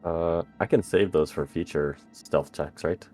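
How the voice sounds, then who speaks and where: A man's voice: sits low, unhurried, dark tone, soft background music. A man playing a tabletop roleplaying game, chatting casually about his moves.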